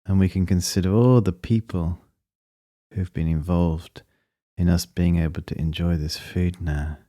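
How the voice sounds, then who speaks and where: A man leading a guided meditation, speaking in a calm, measured and gently instructing manner. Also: deep voice, dry recording, studio quality.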